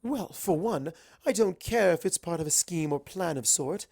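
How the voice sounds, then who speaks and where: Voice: medium pitch, animated, moderate pace, creaky, a little mellow, pitched above the performer's own voice. A narrator voicing a refined, theatrical young female unicorn pony with prim, emphatic delivery in a storybook reading. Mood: prim, determined.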